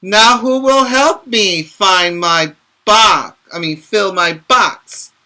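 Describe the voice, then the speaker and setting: A man's voice: sits high, slow pace, bright, forceful tone, pitched above the performer's own voice, heavily acted. A male teacher voicing a little girl from a storybook who asks for help filling her box. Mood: questioning.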